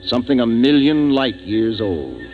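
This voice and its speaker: A man's voice: medium pitch, very animated, a little slow. An actor playing a grave, decisive male spaceship captain who narrates his tale in a 1950s radio drama. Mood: grave narration. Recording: dull 1950s radio recording, music behind.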